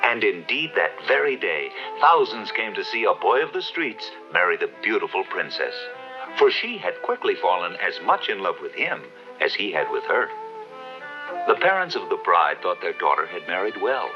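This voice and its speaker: A man's voice: low-pitched, big pitch swings, moderate pace. A man narrating a fairy tale as the scripted storyteller of a 1970s cartoon. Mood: storytelling. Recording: dull old TV soundtrack, music behind.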